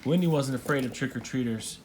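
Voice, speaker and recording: A man's voice: mid-range voice, a little fast. A father reading a storybook aloud in narration, recorded at home. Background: household noise, young child interjecting.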